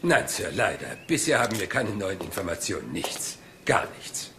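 An actor playing a polite, seemingly scatterbrained police inspector in a crime drama, hesitant, rambling and deferential. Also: male voice, fairly low pitch, sing-song, moderate pace, fairly bright tone, slight background noise. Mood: polite, reassuring. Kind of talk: German crime drama dialogue.

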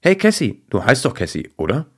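Man putting on an excitable higher-pitched lady dachshund. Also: brisk, slightly rough, full character voice. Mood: eager. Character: excitable, bossy, playful female dachshund. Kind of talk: children's storybook character voice.

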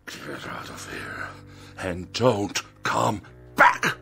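A pompous, irascible man of letters in a radio drama. His pitch swings widely as he delivers florid, sarcastic lines with theatrical disdain. Faint music plays behind him. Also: very animated, extremely slow, gravelly, sharp tone, heavily acted. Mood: defiant, furious. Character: pompous, paranoid, irascible middle-aged male writer who hates machines.

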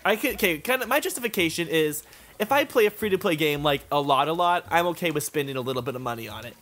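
Animated, higher-pitched man chatting over games. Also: fast. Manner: rambling, peppered with "like"; casual swearing. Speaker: gaming video co-host and player. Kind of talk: casual chat over gameplay. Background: video game play.

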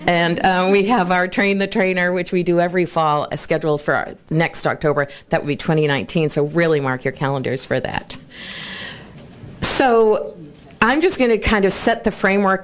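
A woman in her sixties opening a conference with an informal talk, full of chatty asides, speaking at a podium in a large bright hall. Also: lowish voice. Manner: chatty, self-deprecating asides with light laughter. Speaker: conference organizer and presenter. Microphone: lapel mic, then handheld mic.

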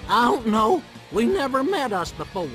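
A man's voice: extremely high pitch, very animated, moderate pace, a bit bright, soft background music, full character voice. A man playing a dim-witted, simple-minded male alien clone sidekick in a video game. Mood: puzzled.

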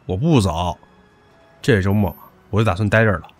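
A man's voice: on the low side, fairly quick, soft background music, natural voice. An actor in an audio drama playing a pushy, teasing young man who talks crudely and with swagger.

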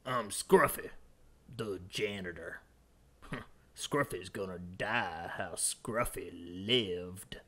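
A man voicing a gruff, laconic cartoon janitor in a mumbled deadpan. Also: low-pitched, drawn-out words, pitched below the performer's own voice, exaggerated voice. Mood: glum.

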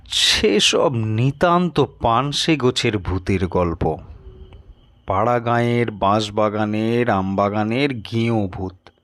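A man narrating a scripted story in a measured, expressive storytelling manner. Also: on the low side, no echo. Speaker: audiobook narrator.

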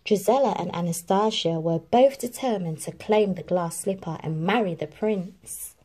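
A woman reading a children's storybook aloud in an expressive, animated narrating style. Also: medium pitch, normal speed. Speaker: storybook reader.

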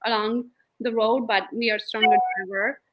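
A woman in her late thirties from Romania with a Romanian accent, a guest on a casual video-call interview, telling her story in a lively way and laughing often. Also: a little high, normal speed, lo-fi sound, background noise. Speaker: guest realtor. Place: home room, indoors. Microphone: laptop webcam on video call.

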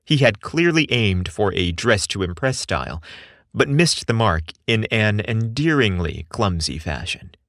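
A man narrating a romantic story in an expressive, earnest way, recorded close on a studio mic. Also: fairly low pitch, a little slow, no echo. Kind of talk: scripted narration.

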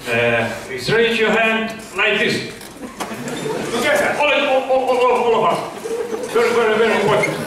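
A young man, not a native English speaker, presenting comedy on stage in halting, broken English with repeated words. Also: high-pitched, animated. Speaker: stage performer, pantomime artist. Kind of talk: comic stage presentation to audience. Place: indoor auditorium stage. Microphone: stand microphone, picked up by far camera. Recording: distant, very echoey hall, noisy audience. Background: loud crowd and room noise.

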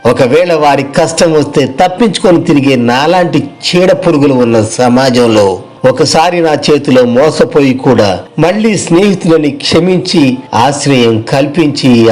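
A man's voice: mid-range voice, darkish tone, lightly acted. A man playing a humbled, remorseful businessman turned beggar, speaking in pleading confession in a dramatized moral story. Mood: grateful, repentant.

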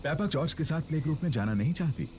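A man's voice: a little high, animated, very fast, darkish tone, a little hiss or hum. Man narrating a cartoon. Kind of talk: scripted narration. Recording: cartoon soundtrack with music.

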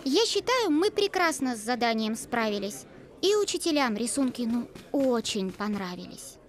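A woman voicing a high earnest schoolboy. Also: sing-song, moderate pace. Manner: childlike, earnest, sing-song. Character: first-grade boy Petya, earnest and naive. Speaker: narrator. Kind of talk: storybook narration and poem recital. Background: loud children's music.

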